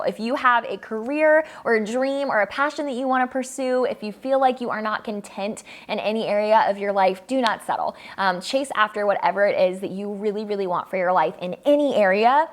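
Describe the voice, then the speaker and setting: A woman in her mid-twenties answering viewer questions in a casual vlog, upbeat and chatty, laughing often between her answers. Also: medium pitch. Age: 20s. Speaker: photographer and vlogger. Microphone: camera mic, filmed by a friend.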